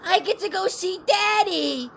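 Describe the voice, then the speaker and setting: A timid, innocent small alien boy from an animated story, acted by a woman. The voice is extremely high with a creaky edge. The recording has clear noise in it. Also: a little slow. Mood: excited. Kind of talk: amateur animation voice acting.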